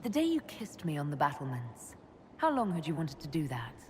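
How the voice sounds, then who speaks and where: A woman playing a calm, caring noble leader with a gentle, direct manner in video game dialogue. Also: deep voice, fairly quick, a little hiss or hum. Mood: gentle, curious.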